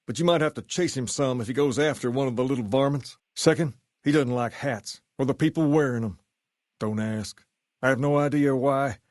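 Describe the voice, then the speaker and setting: Male actor as smooth video game gang leader, drawling swagger, folksy and cool, mid-range pitch, close dry sound. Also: a little mellow, no echo, lightly acted. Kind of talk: video game dialogue acting.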